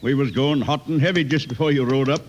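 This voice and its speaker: A man's voice: medium pitch, fast, lo-fi sound, close to an everyday voice. A man playing a genial, folksy Western rancher with an American Western accent in an old-time radio western. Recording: noisy vintage radio recording.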